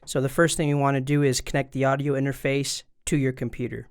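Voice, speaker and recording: A man with a smooth, clean voice at an average pitch walks viewers through instructions. He speaks informally and straight to the listener, step by step, into a studio microphone. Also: little pitch movement, fast talker. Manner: informal, talking directly to viewers. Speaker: tutorial presenter. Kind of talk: casual step-by-step instructional explanation. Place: indoors at a desk. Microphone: studio microphone through an audio interface.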